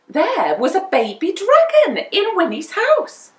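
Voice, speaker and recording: A woman reading a children's picture book aloud as a storyteller, with dramatic, deliberate emphasis. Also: very high voice, wildly swooping pitch, fairly bright tone. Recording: roomy indoor echo.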